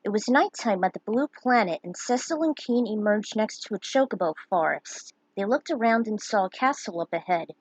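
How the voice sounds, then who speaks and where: A woman's voice: medium pitch, lively intonation, normal speed, few pauses, husky, no room sound, poor audio. A woman reading a story aloud as narrator, in a dramatic storytelling style. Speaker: fan fiction reader, narrator.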